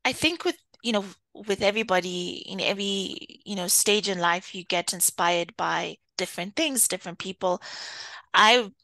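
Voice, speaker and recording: A woman from Kenya being interviewed, speaking thoughtfully with frequent pauses and easy laughs. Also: mid-range voice, sharp tone, dry recording. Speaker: interview guest, data executive. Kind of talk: conversational interview.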